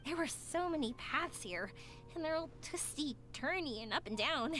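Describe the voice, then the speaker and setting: A woman's voice: high-pitched, lively intonation, moderate pace, faint music behind. A sunny, chatty young woman. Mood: thoughtful. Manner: bright, encouraging, sing-song. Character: cheerful upbeat young woman, warm and talkative. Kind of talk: game voice acting.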